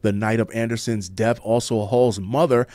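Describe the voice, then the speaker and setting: A man's voice: lowish voice, fairly even intonation, moderate pace, warm tone, no echo. A man with an American accent reading crime news and commenting on it in a blunt, sardonic, slangy way.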